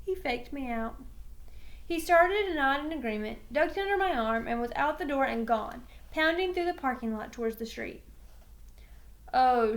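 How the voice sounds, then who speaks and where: A woman with a high voice reads a children's novel aloud. Her delivery is expressive and shaped as storytelling. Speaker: teacher reading a book aloud.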